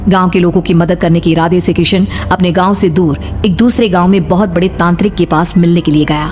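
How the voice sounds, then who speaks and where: A woman's voice: on the low side, fairly even intonation, fairly quick. A woman reading scripted horror story narration for a cartoon. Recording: prominent music under the voice. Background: loud background music.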